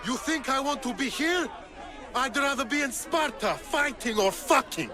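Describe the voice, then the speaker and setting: A man playing a brutish, contemptuous Spartan soldier in a video game, coarse and domineering over loud crowd. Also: very high voice, animated, moderate pace. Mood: scornful, commanding. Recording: loud music and crowd behind.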